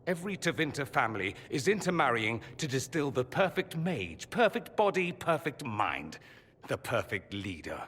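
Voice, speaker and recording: A proud young nobleman mage from a video game, theatrical and sarcastic. His bright, forceful voice swings widely in pitch and rings in a long hall reverb, articulate and defiant. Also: sing-song, normal speed, breathy edge. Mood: hurt, defiant. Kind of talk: dramatic video game voice acting.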